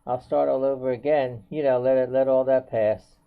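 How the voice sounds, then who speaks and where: A man's voice: mid-range voice, fairly even intonation, moderate pace, gravelly, smooth. Old american guy in his 70s just rambling to the camera, repeats himself a lot, muffled phone-like sound. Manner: rambling, repetitive, often says "you know". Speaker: video blogger. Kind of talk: unscripted casual monologue to viewers. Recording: dull, band-limited home video audio.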